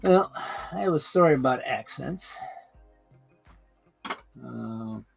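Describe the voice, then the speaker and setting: A man talks unhurriedly through a hobby live stream, his voice rough with gravel. He drifts between stories and the work in his hands, full of pauses and restarts. Soft music plays faintly behind him. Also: medium pitch, expressive. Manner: halting, many fillers and restarts, chats while working. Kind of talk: casual chat while building a model layout.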